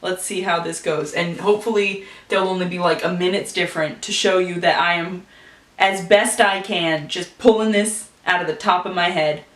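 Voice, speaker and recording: A woman's voice: on the low side, steady tone, moderate pace, fairly bright tone. A woman casually making up and telling a story, chatty and thinking aloud. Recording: roomy echo from a distance, faint music.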